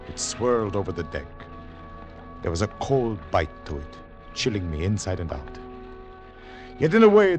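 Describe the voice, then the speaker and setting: An actor playing a grave, wary secret agent in a 1940s radio drama, with a measured, dramatic delivery. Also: male voice, on the low side. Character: David, weary wary foreign secret agent narrating his mission. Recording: old radio recording with music.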